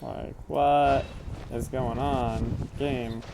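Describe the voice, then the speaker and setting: A man's voice: mid-range voice, fairly even intonation, very slow, noisy background. A man doing casual live gameplay commentary, thinking aloud in a wry, mildly exasperated way. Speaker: game streamer. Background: game music.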